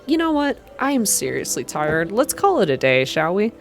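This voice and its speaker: A woman's voice: medium pitch, very animated, normal speed, gravelly, faint music behind. A woman voicing a chatty, friendly young female character in visual novel dialogue, conversational and gossipy. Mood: friendly, tired.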